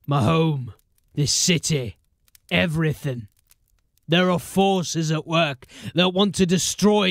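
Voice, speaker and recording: An actor playing a dying old gang boss in a fantasy audio drama, gruff and sardonic, weak with pain and coughing. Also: male voice, fairly high pitch, dry recording, studio quality. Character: dying old male gang boss, defiant, bitter, foul-mouthed.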